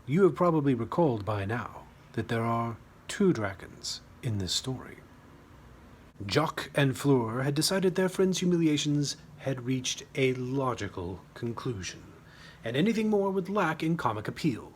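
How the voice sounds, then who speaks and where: A man's voice: medium pitch, lively intonation, unhurried, slight background noise. A man reading his own humorous short story as scripted narration, with a wry, dramatic storytelling manner.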